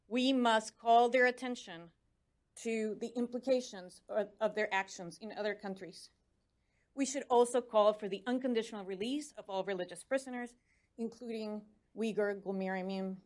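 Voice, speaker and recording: A woman with a Spanish accent, her first language, delivers a prepared speech at a mid pitch. She speaks earnestly, urging her listeners to keep going, and now and then trips over foreign names. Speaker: commissioner on religious freedom.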